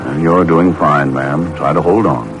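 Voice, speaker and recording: An actor playing a sober, kindly doctor who gently reassures, in a 1940s radio drama. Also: male voice, a bass voice, measured pace, rough recording. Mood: gently consoling. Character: grave, kindly doctor consoling a patient's mother. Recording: old radio recording under loud music.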